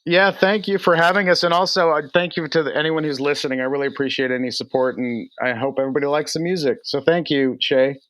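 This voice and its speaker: Smooth, higher-pitched man from Texas. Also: fairly even intonation, fast talker, few pauses, fairly bright tone, dry recording. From United States, raised in Texas. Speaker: singer-songwriter guest. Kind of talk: casual interview chat.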